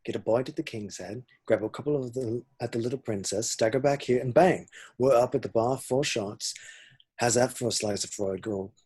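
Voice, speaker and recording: A man's voice: medium pitch, normal speed, a little mellow, husky, lightly acted. A man playing a crude, cheerful young slacker in an amateur comedy table read, with sweary, matey banter. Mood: matey, coaxing. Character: crude, cheerful, loyal young male slacker best mate. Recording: home-recorded remote read.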